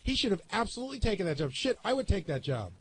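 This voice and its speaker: High-voiced, fast-talking blunt man. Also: very animated, slight background noise. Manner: argumentative, blunt, profane. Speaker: radio show staff panelist. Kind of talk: heated casual panel discussion.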